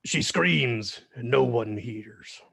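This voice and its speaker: A man playing a somber noir narrator, reading grim comic-book captions in a measured, theatrical way. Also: medium pitch, vocal fry, fairly bright tone. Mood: ominous.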